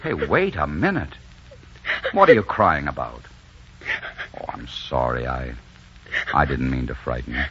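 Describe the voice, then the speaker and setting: An actor playing a rugged, bold adventurer smitten with love, in dramatic, romantic 1940s radio style. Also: male voice, extremely low pitch, expressive, a little slow, pauses often, pushed and bright, slight background noise, full character voice. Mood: eager, smitten. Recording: dull 1940s radio recording with music.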